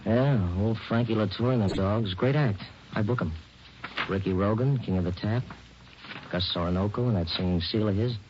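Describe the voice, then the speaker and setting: An actor playing a folksy, breezy showbiz booking agent in a 1940s radio drama, talking in clipped slang. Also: male voice, fairly low pitch, moderate pace, background noise, lightly acted. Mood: breezy, casual. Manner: slangy, informal, clipped phrases. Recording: muffled vintage 1940s radio recording.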